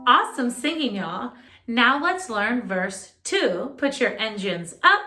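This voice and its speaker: A woman music teacher leading a children's lesson, speaking in a clear, instructive way straight to young listeners. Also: on the high side, big pitch swings, takes it slowly, bright, forceful tone. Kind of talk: children's music lesson and read-aloud narration.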